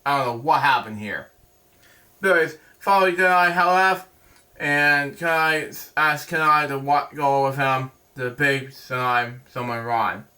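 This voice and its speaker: A man hosting a storybook read-aloud, halting and stumbling over words, drifting into rambling casual asides. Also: medium pitch, bright, forceful tone.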